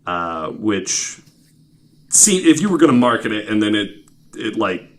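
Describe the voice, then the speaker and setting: A man's voice: on the low side, very animated, measured pace. A man hosting a podcast, telling stories casually to co-hosts in an informal, wryly skeptical way with some swearing. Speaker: podcast host.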